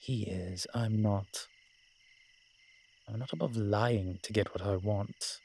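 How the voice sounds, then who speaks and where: Man acting a deep, husky, wry demon. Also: fairly even intonation, slow pace, darkish tone, exaggerated voice. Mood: sly, teasing. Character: wry, worldly male incubus demon, smooth-talking and sly. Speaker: audio roleplay character. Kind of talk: dramatic character roleplay acting.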